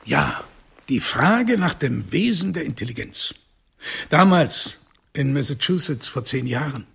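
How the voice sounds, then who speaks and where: An actor playing a self-important, nostalgic research doctor with a raspy voice in a radio drama. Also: male voice, fairly high pitch, lively intonation, measured pace, fairly bright tone, heavily acted.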